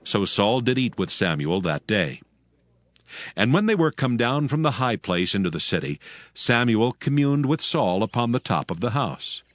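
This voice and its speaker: A man's voice: medium pitch, very animated, normal speed, no room sound. A man narrating a dramatized Bible audiobook in a formal storytelling delivery. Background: background music.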